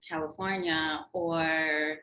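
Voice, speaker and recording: A woman's voice: low-pitched, little pitch movement, slow, bright, forceful tone. A woman hosting an interview, asking friendly, meandering questions with fillers. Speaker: host. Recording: roomy with faint background noise.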